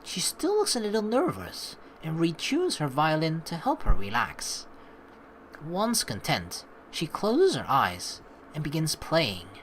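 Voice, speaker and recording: A man's voice: on the high side, a little slow, a little hiss or hum, poor audio. A young man giving chatty let's play commentary, reading game text aloud and reacting to it. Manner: animated, chatty, reacting as he reads.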